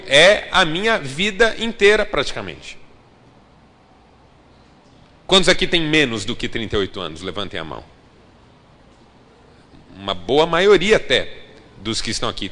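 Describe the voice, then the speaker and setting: A man's voice: a little high, sharp tone. A man in his late thirties preaching a sermon to a congregation, speaking emphatically and addressing them directly. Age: 30s. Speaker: pastor. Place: large church hall. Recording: long hall reverb.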